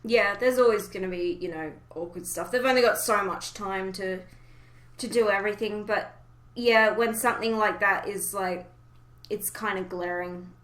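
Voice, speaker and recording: A woman's voice: mid-range voice, fairly even intonation, moderate pace, fairly bright tone. Woman podcast host in an echoey room. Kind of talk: casual fan discussion of an animated series.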